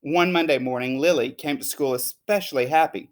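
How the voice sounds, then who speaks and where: A man who works as a teacher, reading a children's story aloud in an expressive, emphatic way. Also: on the high side, a little fast. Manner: animated, emphatic.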